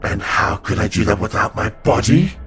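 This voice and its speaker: A man's voice: lowish voice, expressive, moderate pace, fairly bright tone. A man playing an evil ancient winged serpent spirit in a cartoon comic dub, gloating and mocking with theatrical delivery. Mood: gloating, sinister.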